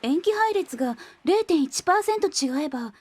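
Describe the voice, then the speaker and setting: High, animated young anime woman. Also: moderate pace, no room sound. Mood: amazed. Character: earnest young woman, bright and emotional. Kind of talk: Japanese anime-style audio drama acting.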